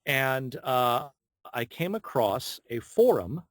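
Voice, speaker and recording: A man of average pitch talks casually as an interview guest. He is a voice actor and tells his stories in an animated way, voicing the people he quotes. The sound is dry, with very little room echo. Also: slow. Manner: animated storyteller, acts out quoted dialogue. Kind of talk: casual anecdotal interview talk.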